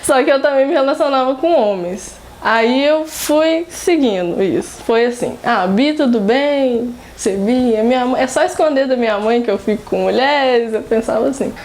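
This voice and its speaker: A woman with a fairly high voice speaks frankly in a documentary interview. Her delivery is direct, emphatic and conversational, as if telling her own story to a friend. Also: normal speed, few pauses, darkish tone. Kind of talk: personal testimony interview.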